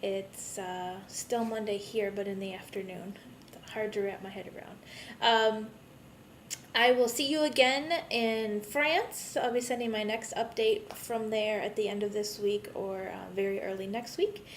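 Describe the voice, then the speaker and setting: A woman's voice: fairly even intonation, measured pace. A woman, a bit tired, giving a conversational, rambling video update to her online students as their course instructor. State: a bit tired, jet-lagged. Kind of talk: informal recorded video message to students. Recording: rumbly background noise.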